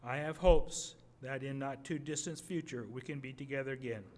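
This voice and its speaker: Older man reading a letter aloud haltingly. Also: medium pitch, steady tone, a little mellow. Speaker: readers theater reader. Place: museum gallery, indoors. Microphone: podium microphone.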